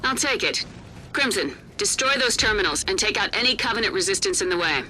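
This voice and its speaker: A woman's voice: mid-range voice, expressive, a little fast, background noise, lightly acted. An actress playing a tough female commander who gives curt, confident orders. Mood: commanding. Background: loud game music.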